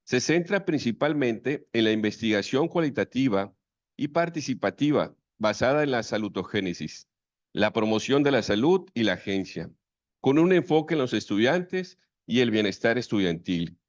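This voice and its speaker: Man, moderator, formal measured reading, dull dry recording. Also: medium pitch, lively intonation, no room sound. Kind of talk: reading a speaker's biography.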